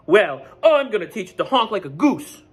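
A man's voice: sits high, sing-song, moderate pace, a bit bright, husky, pitched above the performer's own voice, heavily acted. A man voicing an earnest, hesitant but stubborn goose from a children's picture book. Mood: agreeable.

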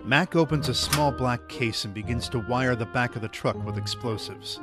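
A man performing as a measured, serious narrator of an action audio drama. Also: medium pitch, loud music behind the voice, close to an everyday voice.

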